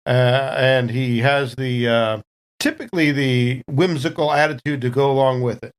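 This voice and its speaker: A man playing a tabletop game, casually narrating what his character does in a halting, understated way. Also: medium pitch, steady tone, clean tone. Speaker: player in a role-play podcast.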